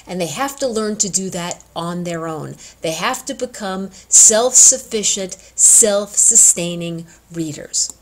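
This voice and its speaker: Female teacher-trainer, mid-pitched, chatty yet instructive, leaning deliberately on key words. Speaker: education instructor addressing student teachers. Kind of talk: informal instructional talk.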